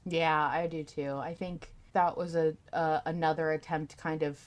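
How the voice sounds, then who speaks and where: A woman's voice: deep voice, level tone, normal speed. A woman hosting a casual fan discussion podcast, talking informally and swearing freely. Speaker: podcast host.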